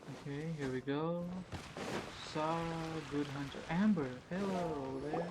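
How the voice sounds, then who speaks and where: A woman's voice: low-pitched, a little slow, noisy background. A woman hosting a gaming video with casual, brief commentary on her playthrough. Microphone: home microphone mixed with game audio. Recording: quiet mic under loud game music.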